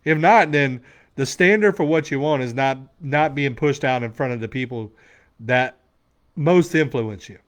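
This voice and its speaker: A man's voice: mid-range voice, a little slow. A man in his forties giving a blunt, emphatic motivational talk as a men's coach, swearing freely to a room. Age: 40s.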